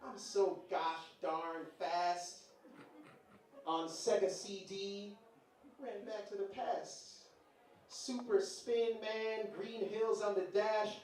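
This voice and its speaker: Casting: male stage poet, high voice, slow theatrical comic delivery, heard from afar in a reverberant venue. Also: fairly even intonation, a little mellow. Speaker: live show performer. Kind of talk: comic poem recital on stage. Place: indoor bar venue, live event. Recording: very echoey live venue, distant, faint noise.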